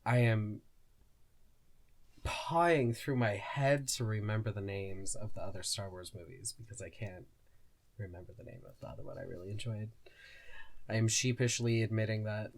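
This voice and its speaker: A podcast co-host in casual conversation, talking in a hesitant, thoughtful and self-effacing way. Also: mid-range voice.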